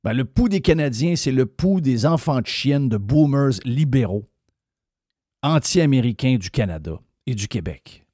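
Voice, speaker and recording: A man's voice: mid-range voice, animated, a little slow, warm tone, dry recording, studio quality. A man with a Québécois French accent hosting a radio talk show, ranting bluntly and swearing freely. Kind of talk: opinionated radio rant.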